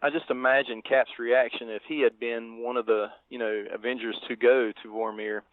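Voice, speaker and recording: A man co-hosting a podcast, discussing a film casually with halting, tentative phrasing. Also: medium pitch, little pitch movement, dry recording. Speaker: podcast co-host. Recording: thin phone-like line, dry.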